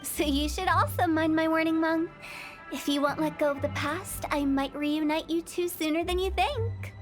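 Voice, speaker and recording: A playful young female funeral director for an anime game. The voice is high and girlish, with a theatrical, teasing way of telling things. Music plays faintly behind it. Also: moderate pace. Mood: mischievous warning.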